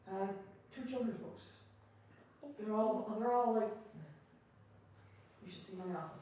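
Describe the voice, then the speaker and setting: An older woman with a creaky voice casually introducing herself as a retired journalist and writer. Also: mid-range voice, measured pace, warm tone. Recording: very echoey room, far from mic, faint noise.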